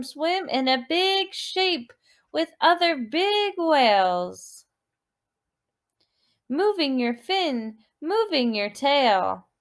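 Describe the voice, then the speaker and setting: A woman teacher reading a children's movement story, expressive and deliberate, prompting listeners with questions and repeated words. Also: sits high.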